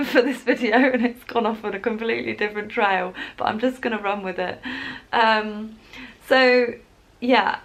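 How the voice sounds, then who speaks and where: A woman's voice: medium pitch, a bit bright. A woman talking casually to camera in a rambling vlog, laughing often as she goes. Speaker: wellbeing vlogger. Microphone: camera in front, leaning closer at times.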